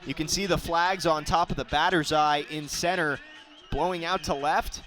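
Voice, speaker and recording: A young man with a fairly high voice does live baseball play-by-play. He describes the action in detail and lifts his delivery for big plays. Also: fairly bright tone. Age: young adult. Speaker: play-by-play announcer.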